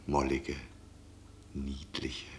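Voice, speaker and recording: An actor playing a jovial, flirtatious tavern guest in a radio play, chatty and teasingly mocking. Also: male voice, very deep voice, monotone, drawn-out words, noisy background, exaggerated voice. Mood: flirtatious, wheedling. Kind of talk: mid-century German radio play acting.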